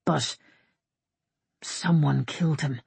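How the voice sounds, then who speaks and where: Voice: mid-range voice, moderate pace, breathy edge, no room sound, lightly acted. Storybook warrior, male voiced by a narrator: blunt, wary, dutiful and defensive, in a dry recording. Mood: hesitant, guarded. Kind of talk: audiobook character dialogue.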